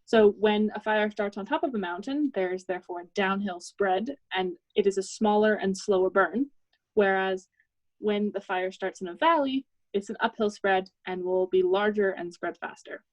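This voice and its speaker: A woman's voice: mid-range voice, moderate pace, dry recording, poor audio. Young woman presenting, close dry sound. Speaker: student presenter. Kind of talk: technical project presentation.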